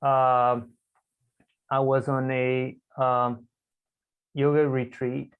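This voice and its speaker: A man from Brazil talks as a guest over a video call, reflective and candid. His voice sits at an ordinary middle pitch. He is a self-amused storyteller in a casual conversation. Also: flat delivery, very slow, smooth, phone-quality sound. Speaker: podcast guest.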